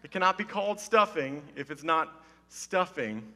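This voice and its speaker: Slightly hoarse man preaching with playful humour. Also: on the high side, lively intonation, moderate pace, bright, forceful tone. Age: 30s. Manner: playful, self-mocking, mock-serious. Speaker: pastor. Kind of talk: casual humorous sermon. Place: church stage with wood-panelled wall. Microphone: headset mic. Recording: long hall reverb.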